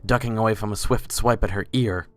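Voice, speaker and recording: A man's voice: on the low side, brisk, soft background music. A man reading scripted story narration as the narrator of a dramatic reading.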